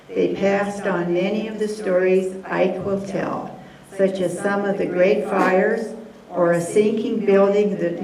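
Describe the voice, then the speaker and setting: A woman's voice: mid-range voice, a little mellow. A woman reading a prepared introduction for a guest speaker at a lecture, with a few slips. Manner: reads steadily, with a few slips. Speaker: event organizer introducing the speaker. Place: lecture hall with audience. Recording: echoey room, distant, audience noise.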